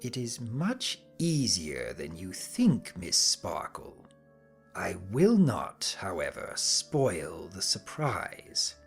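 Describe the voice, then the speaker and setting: A man voicing a smug, sinister pony cult leader who speaks slowly and formally, in a dramatic story reading. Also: deep voice, huge pitch swings, husky, soft background music, pitched below the performer's own voice, full character voice. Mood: smug, superior. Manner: slow, smug, formal and menacing.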